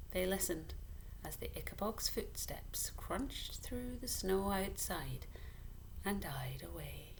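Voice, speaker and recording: A woman reading a children's story aloud, deliberately and with dramatic pauses. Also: lowish voice, vocal fry, slight background noise, poor audio. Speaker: story reader.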